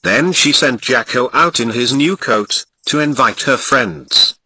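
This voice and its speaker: Bright, lively Ghanaian man reading a fairy tale. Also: medium pitch, unhurried, slightly rough, no echo, low-quality recording. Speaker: narrator. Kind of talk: storybook reading.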